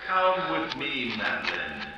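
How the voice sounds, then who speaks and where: A man's voice: medium pitch, wildly swooping pitch, slow. A man voicing a threatening ghost of a dead man, ominous and theatrical, in 1940s radio melodrama. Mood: summoning. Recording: echoey, distant, very noisy, muffled.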